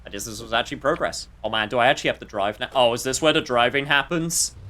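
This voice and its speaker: A man's voice: mid-range voice, fast talker, pushed and bright. A man giving casual, wry gameplay commentary as a streamer, joking at his own expense and thinking aloud. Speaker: streamer playing a game. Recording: faint game music behind.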